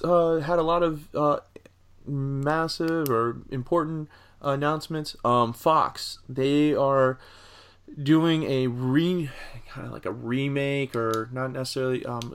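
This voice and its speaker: A man co-hosting a casual entertainment news podcast, speaking in a measured, halting way with pauses between phrases. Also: mid-range voice, fairly bright tone, clear, resonant tone. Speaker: podcast co-host.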